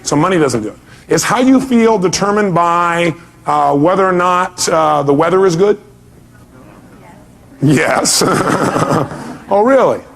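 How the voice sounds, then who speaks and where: High-pitched man giving a motivational seminar. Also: slow, gravelly, long pauses. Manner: emphatic, asks rhetorical questions and often answers them himself. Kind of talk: live motivational seminar talk with questions to the audience.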